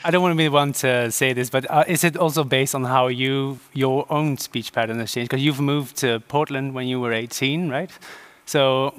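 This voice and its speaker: A man's voice: mid-range voice, steady tone, moderate pace, fairly bright tone. A man interviewing an author on a festival stage set, asking casual, hedging questions.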